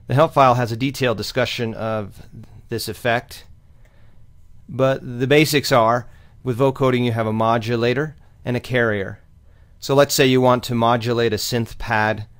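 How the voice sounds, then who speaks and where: A man presenting a step-by-step software tutorial in an explanatory, instructional way. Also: mid-range voice.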